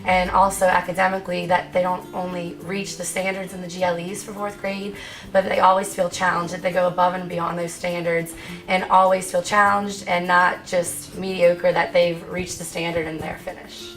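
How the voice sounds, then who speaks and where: An earnest woman teacher explains her classroom approach in an interview. Her voice is fairly low for a woman and stays flat in pitch, with faint music playing underneath. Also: normal speed, poor audio. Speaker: fourth grade teacher. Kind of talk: interview answers.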